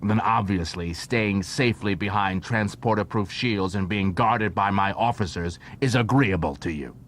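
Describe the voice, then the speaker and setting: A man's voice: moderate pace, lightly acted. Man acting stern, formal station constable. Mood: stern, probing. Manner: formal, clipped interrogation. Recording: noisy track with low rumble.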